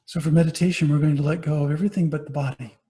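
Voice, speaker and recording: A man guiding a meditation as a teacher, giving gentle, unhurried instructions with pauses between phrases. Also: on the high side, steady tone. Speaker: meditation teacher, Buddhist monastic. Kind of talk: guided body scan meditation.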